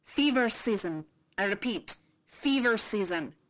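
A woman voicing a calm young female royal handmaiden who speaks in a measured way. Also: mid-range voice, natural voice, rough recording.

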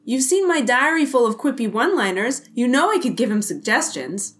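Boyish wisecracking cop, acted by a woman: very high, animated pitch, playful and keen, audio drama. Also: fairly quick. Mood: eager. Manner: playful, enthusiastic. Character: boyish, excitable, wisecracking male police detective.

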